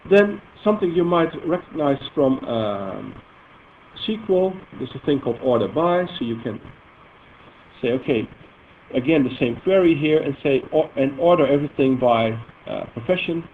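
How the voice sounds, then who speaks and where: Dutch-accented man, mid voice, halting demo delivery, tinny lo-fi sound. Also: medium pitch, animated. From Netherlands. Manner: halting, filler-heavy, self-correcting explanation. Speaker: software presenter demonstrating database queries. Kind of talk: live technical demo talk. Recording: tinny phone-like audio with faint noise.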